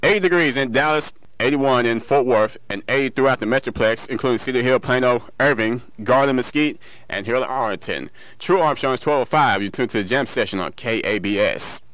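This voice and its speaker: A man's voice: mid-range voice, brisk, few pauses, fairly bright tone, no echo, low-quality recording. Man reading local radio news, dry sound. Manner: stumbles and corrects himself while reading. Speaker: radio newsreader and music show DJ. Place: radio studio.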